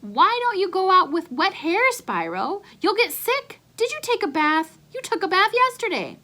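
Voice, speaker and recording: A woman's voice: extremely high pitch, very animated, normal speed, pushed and bright, pitched above the performer's own voice, heavily acted. A woman voicing a fussing, nagging mother who calls out in a children's storybook. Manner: nagging, calling out. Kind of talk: children's storybook read-aloud.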